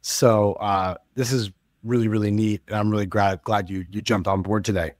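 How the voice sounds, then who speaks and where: A man's voice: fairly low pitch, steady tone, moderate pace, no room sound, studio quality. A young man hosting a podcast over a video call, upbeat and chatty, often repeating words of praise. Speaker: podcast host.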